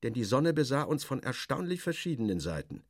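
A man narrating literary prose in the first person, wry, clipped and expressive. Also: mid-range voice, fairly quick, no echo, clean studio sound.

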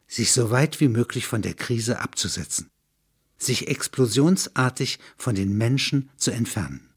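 A man's voice: on the low side, breathy edge. A man narrating a satirical science fiction radio play as a sober chronicler.

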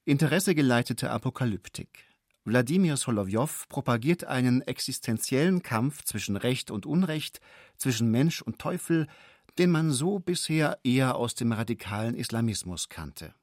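Male documentary narrator, scripted delivery, medium pitch, very dry studio sound. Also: lively intonation, normal speed, a little mellow, dry recording.

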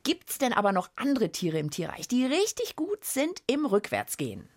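A woman's voice: on the high side, wildly swooping pitch, moderate pace. A woman co-hosting a children's science show in a playful, conversational way, speaking straight to her listeners. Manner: playful, addresses listeners directly. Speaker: co-host of a children's science podcast.

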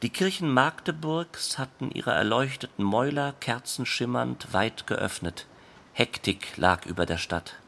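A man reading scripted literary narration in a measured, deliberate way. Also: mid-range voice, animated, sharp tone. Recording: clear background noise.

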